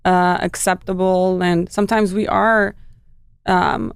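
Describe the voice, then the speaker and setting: A woman's voice: fairly low pitch, level tone, slow pace, creaky, clear, resonant tone, dry recording. A woman from Albania, a fitness trainer, talking casually in an interview with frequent laughs and restarts. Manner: chatty, warm laughs, many fillers and restarts.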